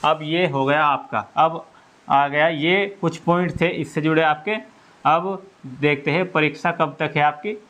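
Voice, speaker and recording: A man with a slightly high voice talks as an exam coach. He explains things informally, point by point, and goes back over each one with frequent little checks for understanding. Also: fairly even intonation, measured pace, a bit bright. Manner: repetitive, explanatory, often checks with "okay".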